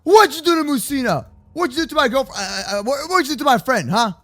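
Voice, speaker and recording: Young male gaming host, extremely high register, swinging animated delivery, playful and slangy, for kids' roleplay. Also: fast talker. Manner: exclamatory, slangy, often shouting, playful. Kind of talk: excitable children's gaming roleplay commentary. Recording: faint music behind the voice. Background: faint music.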